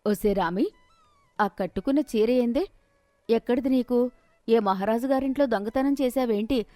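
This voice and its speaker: A proud and jealous rich housewife from a moral storybook, as a woman storyteller plays her. Her high voice scolds, complains and insists stubbornly on having her way. The sound is dry. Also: normal speed, dark tone, no echo, close to an everyday voice. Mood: scolding, jealous.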